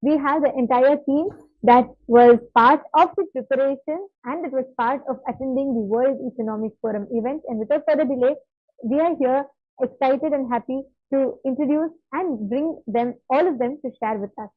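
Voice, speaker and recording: A woman's voice: sits high, normal speed, no echo. A woman hosting a program and introducing guests in an emphatic, announcing manner. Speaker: host. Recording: tinny phone-like line.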